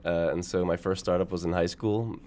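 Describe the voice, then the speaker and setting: Very deep-voiced man, agreeable guest. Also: steady tone, a little fast, darkish tone. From Canada. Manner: agreeable, short affirmations. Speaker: podcast guest, startup founder. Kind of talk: casual interview chat.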